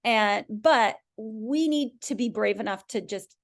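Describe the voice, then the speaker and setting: A woman's voice: fairly high pitch, slow. A woman speaking as an expert podcast guest, explaining in a conversational way and often checking in with the listener.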